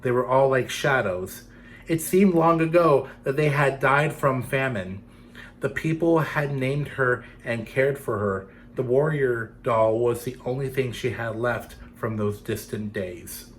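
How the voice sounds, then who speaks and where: A man's voice: mid-range voice, a little slow. Playful male storytime reader, some background noise. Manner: playful, addresses young listeners directly. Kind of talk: children's picture-book reading with asides.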